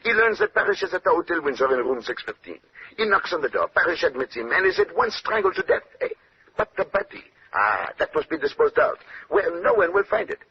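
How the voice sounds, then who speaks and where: An actor playing a fussy, self-assured Belgian detective in a radio drama, with a French-speaking Belgian accent and courtly, pedantic phrasing full of questioning tags. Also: male voice, high-pitched, wildly swooping pitch, a little fast, creaky, exaggerated voice. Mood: proud, explanatory. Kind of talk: 1940s radio drama acting. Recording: muffled, noisy old radio broadcast.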